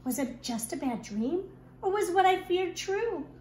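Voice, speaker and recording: A woman reads a rhyming children's book aloud like a kindergarten teacher, expressive and deliberate. Her pace is slow and her voice is high. The recording has clear noise behind her. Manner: expressive, deliberate storytelling delivery. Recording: echoey room with clear background noise.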